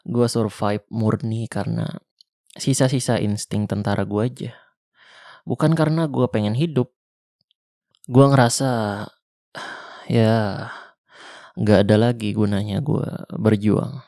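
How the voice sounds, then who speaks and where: A young man with a Jakarta slang accent playing a weary ex-soldier survivor of a zombie apocalypse, speaking softly and intimately in an ASMR roleplay. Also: on the low side, steady tone, gravelly, no room sound, studio quality. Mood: tender. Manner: soft, slow, intimate, half-whispered. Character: weary male ex-soldier survivor, tender protector. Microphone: close mic.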